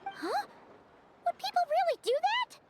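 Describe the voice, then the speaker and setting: A woman voicing a tiny, excitable childlike fairy companion in a video game, exclaiming and referring to herself in the third person. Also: extremely high pitch, measured pace, heavy background noise, heavily acted. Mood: excited, curious. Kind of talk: video game character dialogue.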